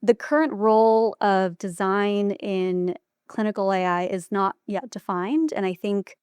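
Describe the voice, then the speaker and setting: A woman's voice: mid-range voice, measured pace, a little mellow, smooth, no room sound. A woman speaking as a clinician guest on a podcast, answering in reflective, explanatory interview talk.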